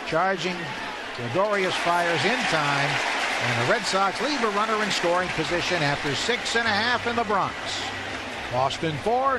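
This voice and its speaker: A man doing live radio baseball play-by-play in clipped, descriptive phrases from a stadium booth. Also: sits high. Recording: loud ballpark crowd and ambient noise.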